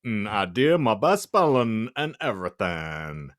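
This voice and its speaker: A man's voice: fairly low pitch, sing-song, measured pace. Man doing a melodramatic bratty teenage goth girl. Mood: gleeful taunting. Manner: slangy, indignant, melodramatic. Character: bratty, foul-mouthed teenage goth girl essay writer. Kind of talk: comedic dramatic reading of fan fiction.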